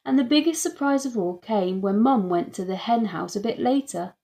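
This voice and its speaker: A woman's voice: a little high, expressive, moderate pace, vocal fry, mellow. A woman reading a children's audiobook as narrator, telling the story in the first person. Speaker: audiobook reader.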